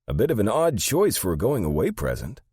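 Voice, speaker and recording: A frank, wryly amused gang member in an audiobook, acted by a man narrator. The pitch is lifted clearly above a deep narration voice, and the sound is dry. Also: wildly swooping pitch, fairly quick, a little mellow, no echo, heavily acted. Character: Albie, wry gang associate, amused and frank.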